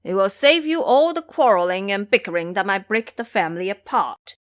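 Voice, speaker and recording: A woman acts out a foolish pig-monster bridegroom from an audio drama. He is eager and greedy, blustering and pleading in loud exclamations with a lively, swinging pitch. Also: moderate pace, lightly acted. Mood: greedy, boastful.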